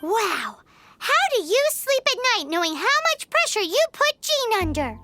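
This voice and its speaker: A woman voicing a tiny, sassy, chatty female companion creature in a game, indignant and very high-pitched, over loud game music. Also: unhurried, heavily acted. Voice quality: very high, squeaky, lively. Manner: indignant, talks about herself in third person. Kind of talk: game voice acting.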